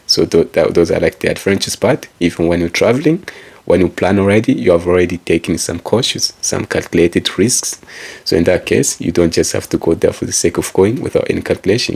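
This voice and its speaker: A man speaking in English, his first language being Kikongo, delivers a meandering motivational talk. His voice is very low and full of vocal fry. It has a husky, rough grain. He keeps circling back to the same phrases. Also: a little fast, a little mellow. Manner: calls out to listeners like a presenter. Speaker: author and speaker. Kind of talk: motivational monologue.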